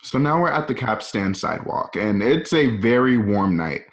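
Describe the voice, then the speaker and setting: A man's voice: medium pitch, big pitch swings, normal speed. A man narrating scene directions in a dramatic scripted reading. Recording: dull lo-fi home call audio.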